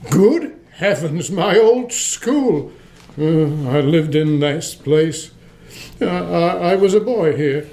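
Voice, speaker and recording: An actor playing a frightened, querulous old miser in a radio play, hesitant and pleading, often stammering. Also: male voice, a little high, lively intonation, takes it slowly, dark tone. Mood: wistful, regretful. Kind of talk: stage-style radio play acting.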